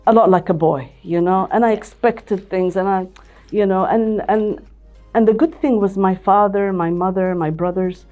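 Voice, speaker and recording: Older woman telling her story, mellow tone, keeps restarting and repeating herself, faint music behind. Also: mid-range voice. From Ethiopia. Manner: reflective, many restarts and repeated words. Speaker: CEO and managing director, interview guest. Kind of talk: interview, personal story.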